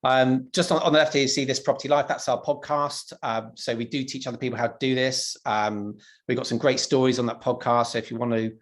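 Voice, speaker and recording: A man from London giving an online property presentation in a relaxed, conversational way, with lots of ums and restarts. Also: mid-range voice, brisk. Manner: casual, many ums and restarts.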